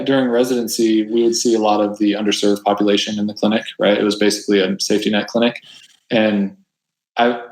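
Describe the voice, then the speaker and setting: Male doctor guest, deep, flat delivery, roomy echo on the track. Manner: thoughtful, conversational, with frequent restarts. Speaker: family doctor. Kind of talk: casual interview talk.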